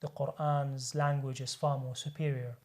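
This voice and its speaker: A man's voice: mid-range voice, flat delivery, moderate pace, a little mellow. Male guest, earnest explainer, mid-range voice, stumbles and restarts phrases. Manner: earnest and explanatory, often repeats words as he restarts. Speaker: guest speaker, medical doctor. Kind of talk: explaining religion in a studio discussion.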